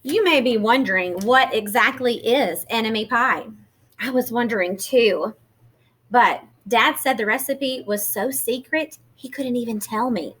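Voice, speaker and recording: A woman reading a children's picture book aloud, expressively, with deliberate emphasis and questions put to the listener. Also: a little high, slightly rough. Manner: animated, deliberate emphasis, pausing to ask questions.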